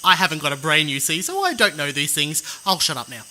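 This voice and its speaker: A woman's voice: low-pitched, expressive, brisk, sharp tone, slight background noise, exaggerated voice. A woman playing a guileless, polite, cheerful scarecrow in a fantasy audio drama. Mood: innocent, apologetic. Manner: guileless, apologetic, chirpy. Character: naive, polite, cheerful brainless talking scarecrow.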